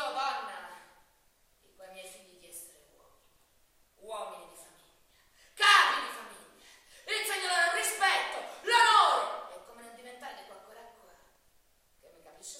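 A proud, submissive mafia wife and mother gives testimony in a theatrical monologue. The voice is high and sharp, chatty and insinuating. It carries from a distance through a very echoey hall with hiss. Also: full character voice. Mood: fierce pride. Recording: echoey hall from far off, hissy.

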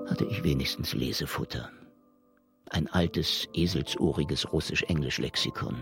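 Sardonic, very deep-voiced investigator. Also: male voice, gravelly. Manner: dry, ironic, insolent. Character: sardonic, world-weary Soviet police investigator, also narrator. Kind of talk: German radio drama acting with hard-boiled first-person narration.

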